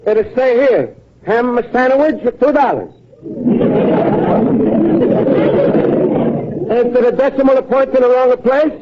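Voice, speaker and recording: An actor playing a naive, eager, warmhearted Italian immigrant in a radio comedy, speaking earnest broken English with an Italian accent. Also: male voice, very high-pitched, normal speed. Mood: puzzled. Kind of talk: old-time radio comedy acting. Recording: dull, noisy vintage radio recording.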